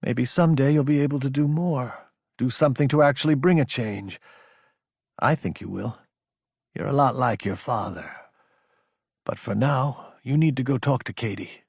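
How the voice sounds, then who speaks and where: A man voicing a female military superior in an audiobook, gentle, understanding and firmly encouraging. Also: medium pitch, moderate pace, gravelly, breathy edge, dry recording, studio quality, voice pushed up. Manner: gentle, reassuring. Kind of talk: audiobook character acting.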